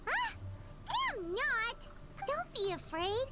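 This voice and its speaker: A woman voicing a tiny, excitable, childlike fairy companion who talks about herself in the third person in an anime game. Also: slow, loud music behind the voice, exaggerated voice. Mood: indignant, chatty. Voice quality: squeaky, childlike.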